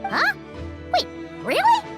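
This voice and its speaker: A woman voicing a small, excitable, childlike fairy companion with a squeaky, perky, impatient delivery in a video game. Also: takes it slowly, full character voice. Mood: puzzled, surprised. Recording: background music under the voice.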